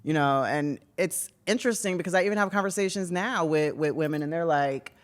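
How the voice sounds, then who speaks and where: A woman's voice: low voice, a little fast. A woman guest on a podcast, talking casually and acting out what other people say. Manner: animated, acts out other people's words. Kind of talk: casual chat.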